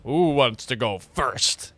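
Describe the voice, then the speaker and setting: Man putting on a slow swaggering action hero. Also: mid-range voice, expressive, bright, forceful tone, no room sound, pitched below the performer's own voice, heavily acted. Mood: cocky. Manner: drawn-out, swaggering. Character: swaggering macho male action hero, eager to fight.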